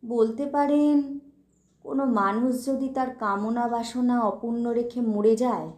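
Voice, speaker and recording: A woman plays a ghostly gentleman poet in an audio ghost story, melancholy and secretive. The delivery is slow and halting, with a hushed, plaintive politeness. The sound is noticeably roomy. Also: fairly high pitch, fairly even intonation, lightly acted. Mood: plaintive, wistful.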